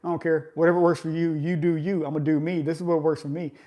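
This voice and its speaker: A man in his forties with a slightly higher voice than most men talks fitness with his live audience. He sounds loose and conversational, like a friendly coach. He keeps checking in with listeners, ending points with a quick "right?". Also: flat delivery, dull audio. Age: 40s. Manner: conversational, coaching, often tags remarks with "right?". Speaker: fitness vlogger. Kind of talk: casual live chat with viewers.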